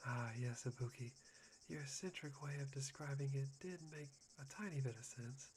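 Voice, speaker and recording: A soft, breathy young man , played by a male actor with a medium-pitched voice that often nears a whisper. He is eerily polite and musing, obsessed with hope, in an ASMR roleplay. Faint noise sits behind him. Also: moderate pace, close to an everyday voice. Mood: polite curiosity.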